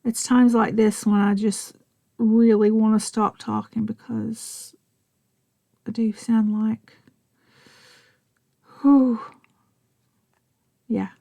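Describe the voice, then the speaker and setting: A middle-aged woman with a Southern US accent, chatting and rambling softly, often half-whispering, as she narrates her crafting. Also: a little high, vocal fry, no room sound, studio quality. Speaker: crafting vlogger.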